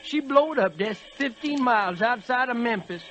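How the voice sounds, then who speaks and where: A man's voice: very high voice, lively intonation, moderate pace, fairly bright tone. A man playing a folksy, weary old freight locomotive with an American Southern accent, in a 1940s radio play. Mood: mournful. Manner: folksy, rambling dialect talk.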